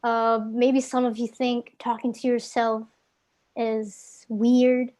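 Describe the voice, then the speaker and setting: A woman's voice: a little high, level tone, slow, darkish tone. A young woman from Indonesia with an Indonesian accent, giving casual tips in a live online English class, with many fillers and restarts. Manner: casual, filler-heavy, self-correcting. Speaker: guest English speaking tutor.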